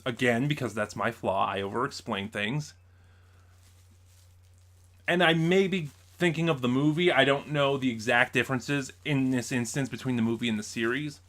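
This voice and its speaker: A man with a mid-pitched voice talks casually as a vlogger reviewing his purchases. He rambles through explanations and corrects his own wording as he goes. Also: normal speed, a bit bright. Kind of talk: casual unboxing chat.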